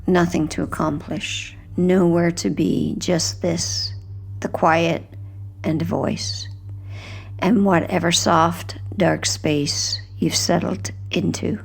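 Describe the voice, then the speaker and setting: A woman guiding a sleep hypnosis in a gentle, hushed, soothing scripted narration, unhurried, with phrases that trail off softly. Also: lowish voice, fairly even intonation, gravelly, husky.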